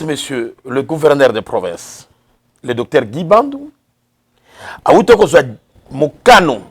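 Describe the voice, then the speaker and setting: Formal Congolese man, lively voice. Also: fairly high pitch. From Kongo Central, DR Congo. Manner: formal, solemn, with filler pauses. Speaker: interview guest, association president. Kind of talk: formal interview answers.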